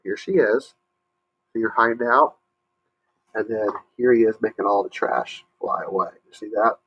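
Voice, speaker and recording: A man reading a story aloud to young listeners, pausing to explain and ask questions. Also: fairly low pitch, steady tone, a bit bright, dull audio, a little hiss or hum. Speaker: storybook reader, teacher.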